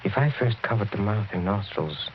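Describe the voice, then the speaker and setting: Casting: male actor as older aristocratic count, low and silky, courteous yet menacing, vintage radio drama, muffled noisy recording. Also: lively intonation, normal speed, natural voice. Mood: coldly menacing. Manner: silky, courteous, theatrically hesitant, chuckling. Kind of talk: 1940s radio acting.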